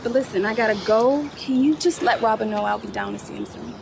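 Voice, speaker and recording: A woman's voice: fairly high pitch, wildly swooping pitch, quick pace, creaky, exaggerated voice. An actress playing a guarded, protective young woman in a screen drama, answering evasively. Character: guarded young woman shielding her friend. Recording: loud ambient background noise.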